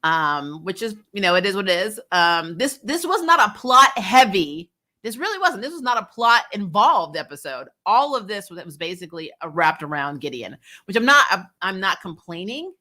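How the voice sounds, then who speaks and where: A woman giving a casual, opinionated recap as an online reviewer, expressive and informal in her slangy delivery. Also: mid-range voice, normal speed. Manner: expressive, informal slang, frequent laughing asides. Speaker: YouTube TV show reviewer, host.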